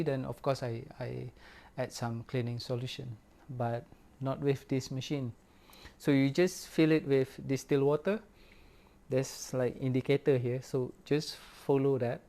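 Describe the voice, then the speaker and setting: Slow, hesitant man reviewing gear. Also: medium pitch, darkish tone. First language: Malay. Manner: unhurried, hesitant, many fillers and restarts. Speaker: record store owner reviewing gear. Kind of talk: casual product review.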